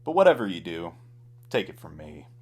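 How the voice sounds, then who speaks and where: Low-voiced man narrating a Western ballad. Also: very animated, a little fast, a little mellow, breathy edge. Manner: dramatic, rhythmic storytelling. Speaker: storyteller, author reading own ballad.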